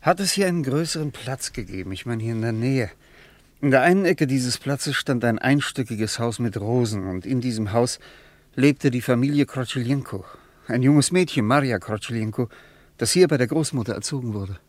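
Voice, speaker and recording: A man's voice: mid-range voice, expressive, moderate pace, no room sound, close to an everyday voice. A man playing an earnest man searching his memories in a radio play, speaking hesitantly. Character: Richard, searching man haunted by memories of a lost house. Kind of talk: German radio play acting.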